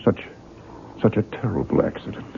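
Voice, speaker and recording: An actor in an old-time radio drama playing an earnest, courteous, formal book publisher. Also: male voice, normal speed, a little hiss or hum, lightly acted. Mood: polite, hesitant. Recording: tinny, muffled old radio recording.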